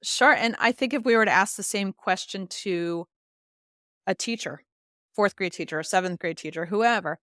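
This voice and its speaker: A woman's voice: medium pitch, moderate pace, a bit bright, smooth, dry recording, studio quality. A woman giving articulate, earnest explanations as an expert guest in an interview. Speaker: literacy expert and author, podcast guest.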